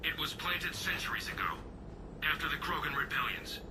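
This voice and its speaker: Dutiful alien lieutenant for a game, high for a man, clipped radio reports amid clear noise. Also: moderate pace. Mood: earnest, tense. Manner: clipped military radio talk. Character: earnest, dutiful young alien lieutenant, male soldier. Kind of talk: video game cutscene acting. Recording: radio voice over loud vehicle and battle noise.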